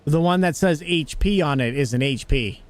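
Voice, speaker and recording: A man's voice: on the high side, normal speed. A young American man hosting a casual gaming livestream, greeting viewers and joking as he plays. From United States. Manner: chatty, greets viewers, jokey. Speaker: livestream host.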